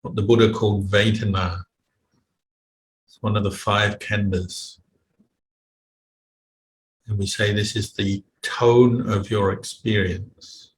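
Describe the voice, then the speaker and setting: A man teaching a guided meditation in a measured, unhurried way, with long pauses between phrases. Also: lowish voice, fairly even intonation. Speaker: meditation teacher, Buddhist monk. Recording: roomy echo, faint background noise.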